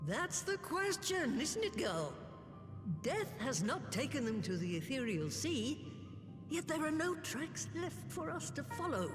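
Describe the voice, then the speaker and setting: A woman's voice: high voice, big pitch swings, unhurried. A woman playing a crotchety, sardonic old hermit sorceress in a video game cutscene. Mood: measured, knowing. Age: older. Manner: sardonic, grumbling, theatrical. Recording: echoey reverberant hall, music behind.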